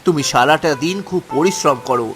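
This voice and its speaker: A man's voice: on the high side, a little fast, a bit bright, natural voice. A man playing a kind-hearted, earnest and hesitant poor husband in a cartoon. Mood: pleading. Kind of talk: Bengali moral-story cartoon acting. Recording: music under the voice.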